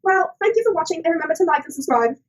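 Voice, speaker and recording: A woman's voice: very high voice, lively intonation, rapid-fire. A woman voicing a cheeky puppet presenter, theatrical and slangy, in a scripted comic science explainer. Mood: cheeky explaining. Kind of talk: scripted comic educational narration.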